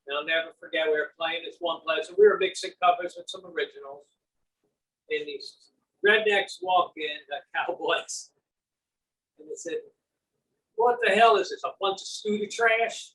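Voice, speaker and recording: A man's voice: sits high, normal speed, pauses often, fairly bright tone, recorded from a distance, rough recording. A man who is a guest in a casual interview, speaking bluntly and plainly. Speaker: guest, bass player.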